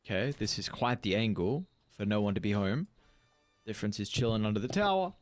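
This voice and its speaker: A man in his twenties casts an esports match with a low voice. He sounds playful and slangy and banters easily with his co-caster. Faint music plays in the background. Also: expressive, fairly quick. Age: 20s. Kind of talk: casual esports commentary.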